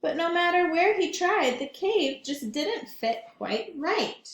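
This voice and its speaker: Slow, high-voiced woman reading aloud. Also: animated. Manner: gentle, slow, questioning. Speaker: teacher reading aloud to children. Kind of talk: children's read-aloud with questions. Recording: roomy echo.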